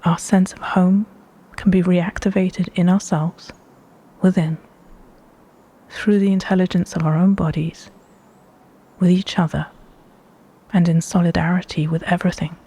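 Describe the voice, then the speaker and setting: A woman with a hushed, breathy voice guiding a meditation in measured, deliberate phrases with pauses between them. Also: lowish voice, little pitch movement. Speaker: meditation guide. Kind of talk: slow guided meditation narration.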